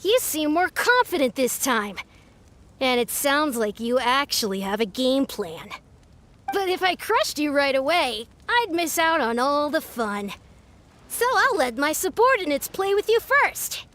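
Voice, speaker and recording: A woman's voice: high-pitched, very animated, a little slow, fairly bright tone, exaggerated voice. A woman voicing a cocky young boy in a video game, smug and challenging, with grandiose talk. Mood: smug, taunting. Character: cocky young boy, self-styled beetle fighting grandmaster. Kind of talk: video game character acting. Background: music.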